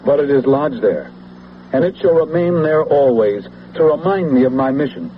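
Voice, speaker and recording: An actor playing a zealous, scheming political leader and veteran, speaking in a grandiose, persuasive oratorical way in a vintage radio drama. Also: male voice, mid-range voice, animated, moderate pace, close to an everyday voice. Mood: fervent. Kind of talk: 1970s radio drama acting. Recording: muffled, noisy old radio broadcast.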